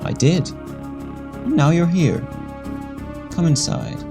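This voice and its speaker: A man's voice: a little high, slow, over music. A man playing a stately veteran superhero leader in a radio play, with formal, oratorical delivery. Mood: cryptic, grave. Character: grand, solemn veteran telepathic superhero leader. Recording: long hall reverb.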